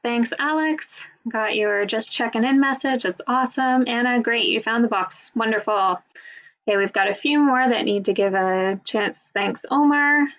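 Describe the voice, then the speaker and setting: Higher-voiced woman moderating a webinar. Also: moderate pace, fairly bright tone. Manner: friendly, instructive. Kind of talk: hosting a webinar. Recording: dull lo-fi webinar audio.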